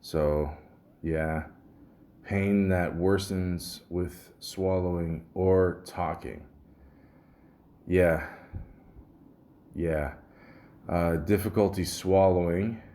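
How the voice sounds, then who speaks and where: A man speaks in a low, deep voice that sounds a little muffled from a sore throat. He hosts a podcast alone, talking in a loose, rambling, grumbling way, with casual swearing mixed in. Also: fairly even intonation, drawn-out words, long pauses, dark tone. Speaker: podcast host. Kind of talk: casual solo podcast rambling, reading symptom lists aloud.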